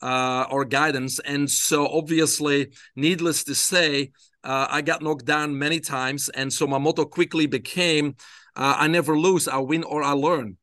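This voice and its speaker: A man from Belgium, a guest on a podcast, tells his life story in a relaxed way with frequent hesitations. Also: a little high, unhurried, no room sound, studio quality. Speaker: interview guest. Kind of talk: casual storytelling in an interview.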